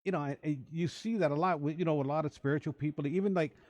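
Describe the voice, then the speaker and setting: A man's voice: a little high, fast. A man hosting a radio interview, talking loosely and rambling, often repeating words. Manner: relaxed, rambling with many repeats. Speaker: radio show host. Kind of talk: casual interview chat. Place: radio studio.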